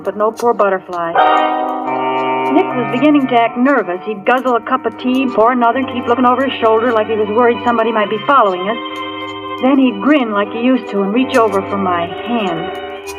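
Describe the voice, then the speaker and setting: A woman's voice: a little high, a little fast, natural voice. An actress playing a frightened young woman in a dramatic 1940s radio thriller. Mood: tense, suspenseful. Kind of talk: 1940s radio thriller acting, first-person narration. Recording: dull vintage radio recording with music.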